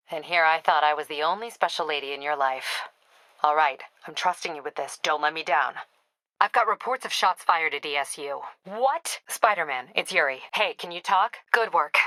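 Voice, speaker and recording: Female police captain for a game: slightly low, sharp-edged voice, no-nonsense and weary, curt dispatch-style delivery with dry humor. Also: dry recording, rough recording. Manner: clipped, businesslike, dryly wry. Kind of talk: video game voice acting, radio dispatch briefings.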